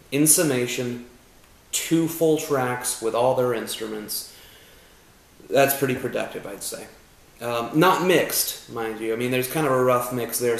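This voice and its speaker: A man's voice: mid-range voice, creaky, echoey room, rough recording. A young man vlogging about recording music at home, chatty and self-deprecating, with joking profane asides. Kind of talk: casual chat. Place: home room.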